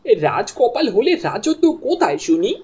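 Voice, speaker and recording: A woman's voice: a little high, big pitch swings, moderate pace, darkish tone. A woman voicing a modest, pious male village fisherman in a cartoon, talking in a self-effacing way. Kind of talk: Bengali cartoon dialogue.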